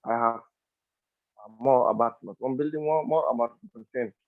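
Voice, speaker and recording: Male attendee, non-native English, searching for words, gravelly voice over a thin phone-quality line. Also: medium pitch, unhurried, no room sound. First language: not English. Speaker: training attendee asking a question. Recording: narrow phone-like call line.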